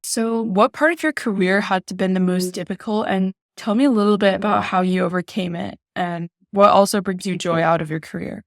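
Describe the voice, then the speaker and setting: Teenage girl interviewer, crisp voice. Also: mid-range voice, a little fast, hardly any pauses, no echo, clean studio sound. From New York City. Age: teens. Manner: warm, admiring questions. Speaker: student reporter, interviewer. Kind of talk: partly scripted interview.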